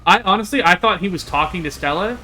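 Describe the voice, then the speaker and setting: A man's voice: sits high, expressive, fast talker, bright, forceful tone, a little hiss or hum, poor audio. A man streaming a visual novel, reading the narration aloud and adding casual asides.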